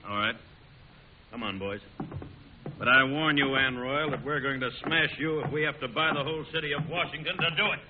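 A mid-pitched male voice on a muffled, faintly hissy old radio recording. An actor plays an insinuating, threatening political heavy with measured delivery, in vintage radio drama style. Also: lightly acted. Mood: menacing. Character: smooth-talking, menacing male political heavy.

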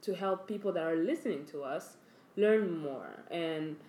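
A woman's voice: lowish voice, warm tone. A young woman chatting emphatically as a podcast co-host, talking freely about her views. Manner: chatty, emphatic, quick to laugh. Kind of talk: casual chat. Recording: echoey room with faint noise.

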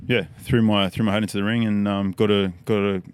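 A relaxed Australian man in his thirties who rambles easily through his story. His voice sits low and sounds smooth and resonant. It comes across close and dry. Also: brisk, dry recording. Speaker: podcast guest. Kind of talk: casual chat.

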